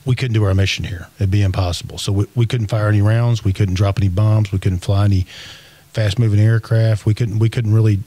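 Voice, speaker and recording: A man's voice: on the low side, fairly even intonation, a little fast, warm tone. A middle-aged man explaining things informally and emphatically as an interview guest. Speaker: podcast guest. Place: small indoor podcast studio. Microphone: close desk microphone.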